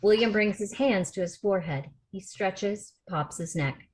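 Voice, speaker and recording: A woman's voice: mid-range voice, a little slow, darkish tone, poor audio. A woman reading stage directions as the narrator at a film script table read. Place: remote video call rehearsal.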